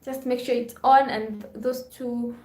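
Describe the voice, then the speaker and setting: A woman's voice: fairly high pitch, level tone, measured pace, darkish tone, echoey room. A woman hosting a live vlog stream, chatting casually with viewers, reading their comments and thanking them often.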